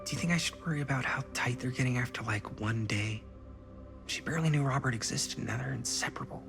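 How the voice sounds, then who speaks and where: Man acting wary young male, medium pitch, sarcastic temper, natural game conversation, prominent score behind. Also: quick pace, gravelly, over music, close to an everyday voice. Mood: worried, sarcastic. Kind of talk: naturalistic horror game dialogue.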